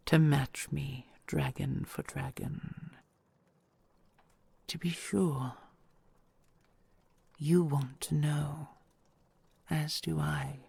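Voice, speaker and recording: Woman playing a breathy, gravelly ancient dragon queen. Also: low voice, fairly even intonation, no room sound, exaggerated voice. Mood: gentle, knowing. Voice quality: breathy, whispery. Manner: slow, hushed, formal archaic phrasing. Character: ancient possessive, tender dragon queen lover. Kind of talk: intimate romantic audio roleplay.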